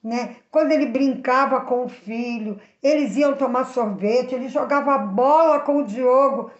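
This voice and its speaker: A woman's voice: high-pitched, unhurried. A Brazilian woman telling moral Bible stories to children in an earnest, didactic way, speaking directly to the listener. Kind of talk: moral storytelling with preaching for children. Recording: echoey room, slightly dull sound.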